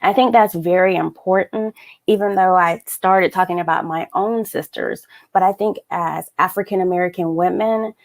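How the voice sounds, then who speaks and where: A woman's voice: lowish voice, fairly even intonation, normal speed. A woman author and veteran on a panel, speaking directly and earnestly over a video call.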